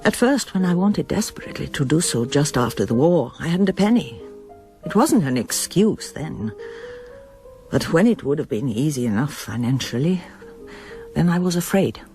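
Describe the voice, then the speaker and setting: A middle-aged mother in a radio drama, brittle and troubled. Her low voice moves expressively, hesitant and inward-looking, with music at times behind it. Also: big pitch swings, normal speed, mellow. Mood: reflective. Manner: wounded, hesitant, introspective. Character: troubled, brittle mother of a grown son, former pianist. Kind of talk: broadcast radio drama acting.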